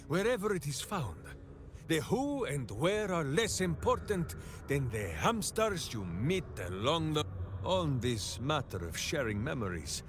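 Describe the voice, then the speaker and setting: Slow, booming-hearted berserker hero. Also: male voice. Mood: earnest, jovial. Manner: grandiose, third-person heroic declarations with odd phrasing. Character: hearty, bombastic male berserker ranger, earnest and simple-minded. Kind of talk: fantasy video game acting. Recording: music behind the voice.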